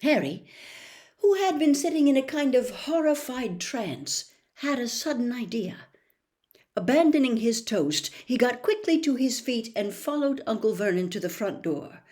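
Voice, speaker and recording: Expressive woman reading a storybook. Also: fairly high pitch, measured pace. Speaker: audiobook reader.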